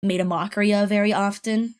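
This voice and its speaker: A young woman with a deeper voice, a podcast guest telling stories in a casual, rambling chat full of laughs and swearing. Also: steady tone, fairly quick.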